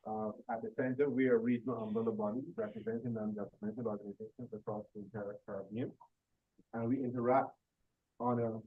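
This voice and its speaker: A man heading an organization, giving earnest, measured opening remarks at a panel session. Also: medium pitch, breathy edge, dry recording, phone-quality sound. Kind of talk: opening speech.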